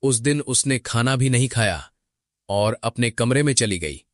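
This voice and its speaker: A man narrating a cartoon story in an expressive, dramatic way. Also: mid-range voice, unhurried, no room sound, studio quality.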